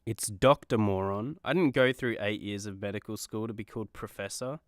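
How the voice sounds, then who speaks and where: A man's voice: lowish voice, brisk, no room sound, clean studio sound, lightly acted. An actor playing a vain, sulky male superhero in a radio comedy, griping with indignant sarcasm. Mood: sulky, sarcastic. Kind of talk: comedy radio play acting.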